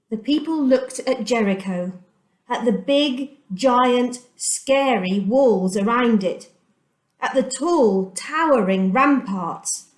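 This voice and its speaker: Female children's storyteller, fairly high voice, very animated melody, dramatic deliberate phrasing, recorded in a roomy space. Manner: deliberate, dramatic emphasis with repeated pauses. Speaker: storyteller reading a children's Bible aloud. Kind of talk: expressive children's storytime reading. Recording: echoey room.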